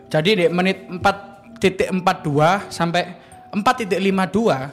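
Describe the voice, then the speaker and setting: Pria muda bersuara tinggi, logat Jawa Timur. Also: male voice, high-pitched, steady tone, normal speed, faint music behind. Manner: chatty storytelling, mixing Indonesian and Javanese slang. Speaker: podcast co-host. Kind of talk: casual podcast banter.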